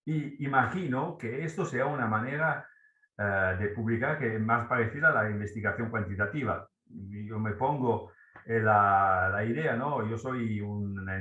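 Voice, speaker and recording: A man's voice: on the low side, pushed and bright, roomy sound, lo-fi sound. A man from Italy with an Italian accent, speaking Spanish as a second language, asking a question on a video call and searching for words. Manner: searching for words, halting restarts. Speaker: audience member asking a question. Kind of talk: question in a webinar.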